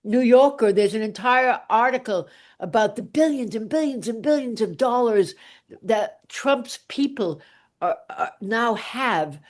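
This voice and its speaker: A woman's voice: on the high side, animated, unhurried. An older woman chatting casually in a group, blunt and rambling in the way she talks.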